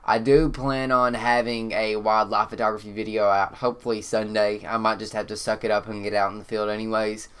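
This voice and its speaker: A man with a Kentucky accent, congested and slightly hoarse, explaining one of his photos in a relaxed, rambling way with lots of "um.". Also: lowish voice, a little fast, few pauses. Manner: relaxed, rambling, frequent "um" fillers. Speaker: photographer and channel host. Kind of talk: casual talk-to-camera explainer. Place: indoors.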